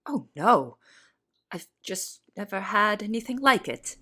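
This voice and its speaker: A woman playing a wry young cook who narrates her kitchen life in an expressive, storytelling way for an audio drama. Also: mid-range voice, measured pace, breathy edge, heavily acted. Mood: flustered, placating. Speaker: narrator and lead character. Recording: music behind the voice.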